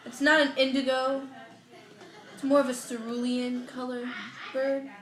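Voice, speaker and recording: A woman's voice: sits high, level tone, a little slow, fairly bright tone, roomy sound. A woman doing a parody read-aloud of a children's picture book, rambling through ad-libbed asides in a theatrical, emotional way. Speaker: comedic storybook reader.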